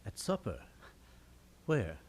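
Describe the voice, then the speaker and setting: A man's voice: on the low side, wildly swooping pitch, takes it slowly, darkish tone, slight background noise, full character voice. A man acting a commanding, formal stepfather king in a theatrical Shakespearean puppet show. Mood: stern demanding. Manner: formal, imperious.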